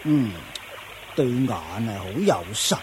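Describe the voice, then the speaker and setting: A man playing a pompous, strict master of forest ascetics in a radio drama, lecturing with drawn-out grunts and fillers. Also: medium pitch, sing-song, a little hiss or hum. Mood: musing. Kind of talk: Cantonese Buddhist radio drama acting.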